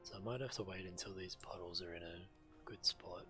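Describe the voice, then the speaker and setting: Male streamer, low register, casual play-by-play, music clearly behind. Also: moderate pace. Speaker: game streamer. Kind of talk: casual gameplay commentary. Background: video game music.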